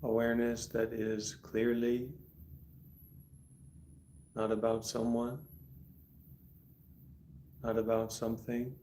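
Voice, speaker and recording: A man guiding a meditation calmly and deliberately, speaking in short instructive phrases with long pauses between them. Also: mid-range voice, slight background noise. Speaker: meditation teacher. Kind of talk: guided meditation.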